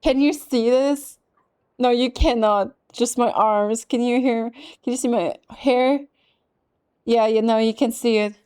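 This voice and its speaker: A woman's voice: fairly high pitch, fairly even intonation, a little slow, warm tone, smooth. A woman game streamer giving casual playthrough commentary and reading dialogue aloud, musing and reactive.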